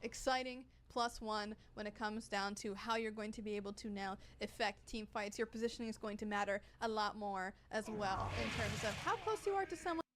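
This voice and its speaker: A woman's voice: medium pitch, a little fast, few pauses, a bit bright, a little hiss or hum. A woman who works on a game, presenting its updates on a livestream in an upbeat, explanatory way with plenty of fillers.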